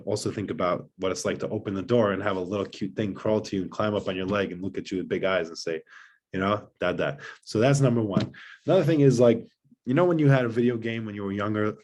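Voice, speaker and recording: A man, a father of seven, guesting on a podcast and talking earnestly in long rushing runs of advice. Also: on the low side, darkish tone. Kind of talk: conversational advice.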